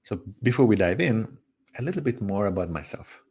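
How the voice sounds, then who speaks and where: A man's voice: lowish voice, lively intonation, a little fast, dark tone, breathy edge. A man in his thirties with a Hungarian accent tinged with French, a cross-cultural coach presenting a webinar in a friendly, conversational way with frequent self-corrections. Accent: Hungarian with a slight French tinge.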